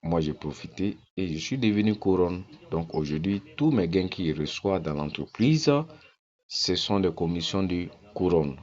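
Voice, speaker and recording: A man's voice: sits low, normal speed, a little mellow, dull audio. A man from Ghana, walking viewers through his account on screen in a casual, persuasive way and repeating his points insistently. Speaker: network-marketing promoter.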